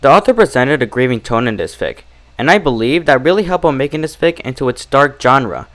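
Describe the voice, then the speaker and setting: A man presenting scripted fan fiction readings and reviews as host. His pitch moves in a very lively way, and his delivery is deliberate and expressive. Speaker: story narrator and reviewer, channel host.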